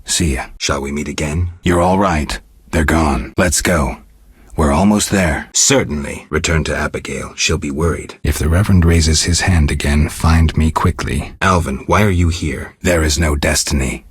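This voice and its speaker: A man playing a stoic, world-weary middle-aged monster hunter in a video game, speaking in a gravelly, husky voice with dry, laconic restraint. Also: a bass voice, moderate pace, no echo, heavily acted. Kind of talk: scripted video game character dialogue.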